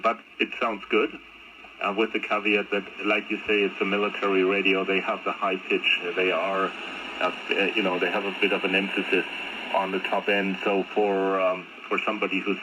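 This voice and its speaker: Low-voiced man over noisy shortwave radio. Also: little pitch movement, moderate pace. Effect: shortwave radio transmission. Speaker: amateur radio operator. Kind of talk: casual ham radio exchange. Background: radio static noise.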